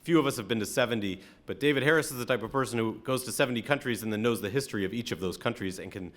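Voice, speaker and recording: A man's voice: medium pitch, very fast, a bit bright. American man, a rabbi speaking reflectively. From Atlanta, American South. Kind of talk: sermon, conversational talk to congregation.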